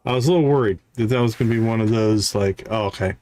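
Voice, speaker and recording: A man giving casual live video game commentary, chatting and thinking out loud. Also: fairly low pitch, quick pace, a little hiss or hum. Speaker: gaming streamer.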